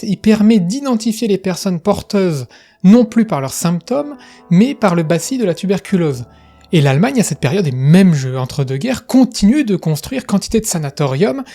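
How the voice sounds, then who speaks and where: A man's voice: high voice, darkish tone. A man hosting an educational video, explaining history in a casual, chatty way with frequent asides.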